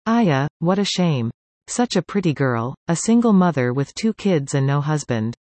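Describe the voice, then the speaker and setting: A woman voicing a gossiping older female family friend with a Hong Kong accent, pitying and slow. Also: low-pitched, sing-song, darkish tone, no room sound.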